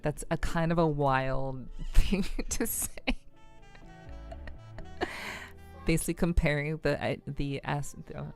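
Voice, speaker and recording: A woman talks casually to her livestream viewers. She rambles in a halting way, circling back over her own phrases. Faint music plays behind her. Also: very deep voice, gravelly, darkish tone. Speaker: VTuber streamer.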